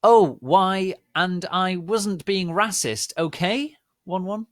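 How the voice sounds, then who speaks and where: A man voicing a bratty, defensive teenage girl fanfiction author with a whiny, petulant, drawn-out delivery. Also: high voice, fairly bright tone, dry recording, clean studio sound, voice pushed up. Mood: indignant.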